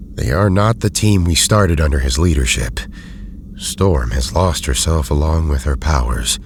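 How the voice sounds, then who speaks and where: Very deep, erudite hero scientist. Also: male voice, a little hiss or hum, exaggerated voice. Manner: formal, deliberate phrasing. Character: erudite, measured male scientist hero, weary but loyal. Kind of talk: audio drama acting.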